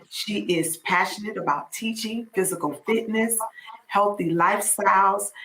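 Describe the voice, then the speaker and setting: A woman's voice: mid-range voice, fairly bright tone, a little hiss or hum, poor audio. A woman in her fifties hosting a podcast, reading a guest introduction and then chatting playfully and effusively. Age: 50s. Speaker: podcast host.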